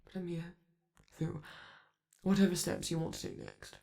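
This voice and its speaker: A man voicing a flamboyant, affectionate male robot star who speaks softly and teasingly to his partner in a romantic ASMR roleplay. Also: fairly high pitch, wildly swooping pitch, normal speed, gravelly, exaggerated voice. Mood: reassuring. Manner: soft, doting, teasing, reassuring.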